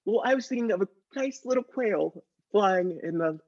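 A man's voice: high voice, animated, normal speed, darkish tone. A man playing a petulant, easily flustered actor in an improvised comedy, on dull-sounding audio. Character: petulant actor son who pistol-whips his parents.

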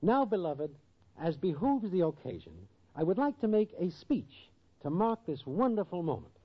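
An actor playing a shifty, slangy small-time schemer of a boyfriend in a 1940s radio comedy, given to grand speeches. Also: male voice, sits high, sing-song, normal speed, slightly rough, heavily acted. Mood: grandiose. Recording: muffled, noisy 1940s radio broadcast.

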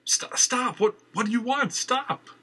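A man's voice: medium pitch, sing-song, moderate pace, pushed and bright, slightly rough, voice pushed up, heavily acted. A man playing a shaky, stammering young woman. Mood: frightened. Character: frightened, defiant young woman singer.

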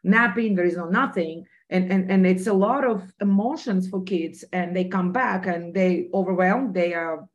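A woman's voice: fairly low pitch, moderate pace, few pauses, lo-fi sound. A woman who is a native Russian speaker with a Russian accent, talking earnestly and emphatically as a guest in a conversational interview, repeating phrases for emphasis. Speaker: podcast guest, entrepreneur.

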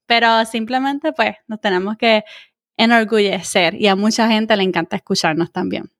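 A woman's voice: medium pitch, fairly even intonation, moderate pace, no room sound, studio quality. A woman with a Puerto Rican accent hosting a casual interview, chatty and encouraging, mixing English words into her talk. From Puerto Rico. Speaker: interviewer, host.

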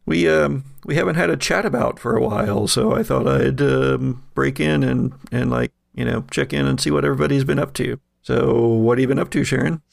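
A man with a gravelly voice hosting a show, moving between scripted reading and casual talk. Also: mid-range voice, brisk, few pauses, dry recording, clean studio sound. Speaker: podcast host.

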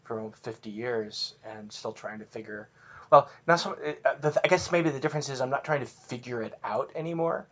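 Middle-aged male guest, mid-pitched, hesitant, many restarts while finding his words. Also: fairly bright tone. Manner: halting, restarts often, searching for words. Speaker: podcast guest. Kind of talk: casual podcast chat.

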